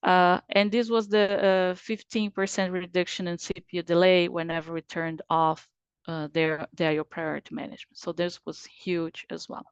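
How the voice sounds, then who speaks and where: A woman's voice: on the low side, little pitch movement, normal speed, clean tone, dry recording, highs cut off. A Brazilian woman, whose first language is Portuguese, gives a technical conference presentation over a video call, explaining carefully with frequent "uh" fillers.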